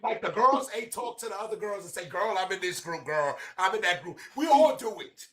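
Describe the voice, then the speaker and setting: A woman hosting a heated panel argument in a brash, profane, domineering way, with a voice she says is going hoarse. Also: medium pitch, expressive, brisk, fairly bright tone. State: losing her voice. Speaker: host.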